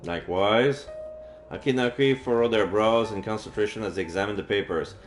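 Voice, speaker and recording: Low-voiced man reading aloud, echoey room. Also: a little fast. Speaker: video game streamer. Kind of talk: reading visual novel text aloud. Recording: echoey room with faint game music. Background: faint game music.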